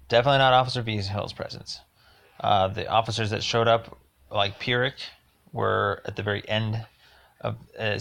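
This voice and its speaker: A man with a fairly low voice and a lot of gravelly fry leaves a rambling complaint as a voicemail. He speaks haltingly but insistently, leaning on his words for emphasis. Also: a bit bright. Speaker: caller leaving a voicemail.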